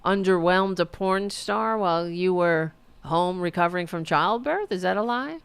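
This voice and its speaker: A woman's voice: fairly low pitch, normal speed, vocal fry, clean tone. A woman hosting a podcast with casual political commentary, sarcastic, mocking and profane, often exasperated and repeating her demands. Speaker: podcast host.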